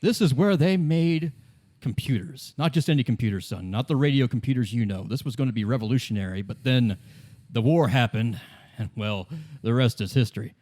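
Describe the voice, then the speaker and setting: A man's voice: medium pitch, fairly quick, darkish tone, lightly acted. A man voicing a polite, history-proud father in improvised tabletop roleplay, chatty and stammering. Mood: proud, welcoming. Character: well-kept polite father, history-proud, flustered small talker.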